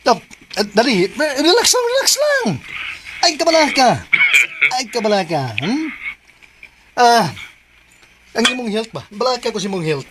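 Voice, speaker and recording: A woman's voice: a little high, very animated, moderate pace, pauses often, heavy background noise. A woman acting in a Filipino radio drama as a mocking, cackling boss, with a Cebuano accent and repetitive, theatrical patter. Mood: teasingly soothing. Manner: theatrical, repetitive filler words.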